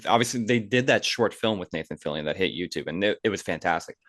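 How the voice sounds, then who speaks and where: A man's voice: deep voice, animated, fast talker, a bit bright, no echo, studio quality. A man hosting a podcast, chatting casually about movies in an informal, opinionated way. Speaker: podcast host.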